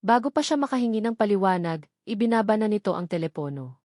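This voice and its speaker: Female Filipino story narrator, dramatic romance read, mid-pitched, dry sound. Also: animated, fast talker, darkish tone, no echo.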